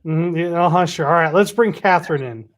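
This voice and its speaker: A man's voice: a little high, a little fast. Easygoing man hosting. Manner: easygoing, teasing. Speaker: host. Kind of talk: casual chat.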